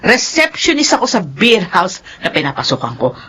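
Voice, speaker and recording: An actress playing a brassy, easily offended beer house receptionist in a radio drama, shrill and confrontational. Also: female voice, huge pitch swings, moderate pace, fairly bright tone, husky, exaggerated voice. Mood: indignant.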